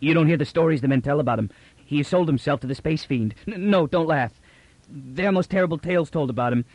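Man playing a jumpy farm-boy sergeant, thick rural accent, higher, fast and stammering, dry studio sound. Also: darkish tone, dry recording. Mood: fearful. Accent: thick rural accent of the figure. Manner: agitated, rushed, stumbling over words. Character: excited young male peasant-born soldier.

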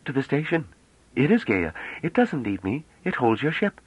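Male narrator as young woman, proud, coy, careful diction, lifted pitch, lively swoops, storybook emissary. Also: normal speed, slightly rough, no room sound, dull audio. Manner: slightly hesitant, careful pronunciation, solemn and playful.

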